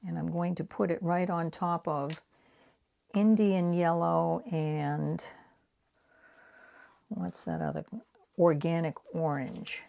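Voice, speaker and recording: A woman in her sixties with a light Boston accent, chatting casually and rambling as she makes art prints, dropping into soft whispered asides while she works. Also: fairly low pitch, steady tone, slow pace, vocal fry, pauses often. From New England, United States. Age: 60s. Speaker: artist and craft vlogger.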